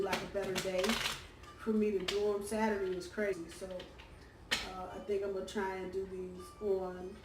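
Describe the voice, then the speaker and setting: A hoarse woman giving a casual weekly tarot reading, chatty and full of ums and uhs. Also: medium pitch. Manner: chatty, warm-hearted, lots of ums and uhs. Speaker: tarot reader. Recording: roomy, distant from the mic, faint background hum.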